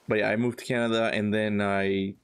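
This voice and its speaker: A man's voice: on the low side, flat delivery, brisk, background noise. A man born in Cuba, streaming a video game and chatting casually with his viewers as he plays, often laughing. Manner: chatty, reads chat aloud, laughs often. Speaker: gaming streamer. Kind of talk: casual livestream chat while playing.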